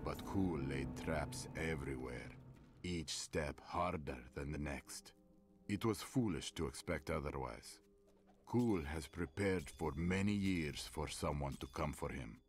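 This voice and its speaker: A very deep, grave adventurer. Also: male voice. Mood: grim resolve. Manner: slow, grave. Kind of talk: dramatic game journal narration. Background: music.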